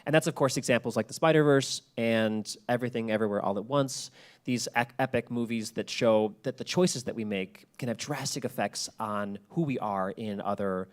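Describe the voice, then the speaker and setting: A man presenting an informal lecture to an audience, explaining ideas and posing rhetorical questions as he goes. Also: medium pitch. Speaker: presenter giving a talk on podcast world-building.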